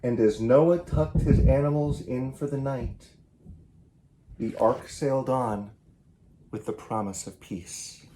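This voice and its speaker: A man, a children's author, reading a picture book aloud in an animated, theatrical and emphatic way. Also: mid-range voice, slow, noisy background. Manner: theatrical, emphatic, with varied delivery.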